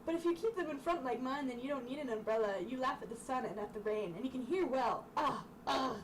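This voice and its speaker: A woman voicing a slow-witted, honest mother pig from a storybook, giving earnest, halting advice. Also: sits high, lightly acted. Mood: earnest advising. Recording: distant mic, clearly noisy room sound.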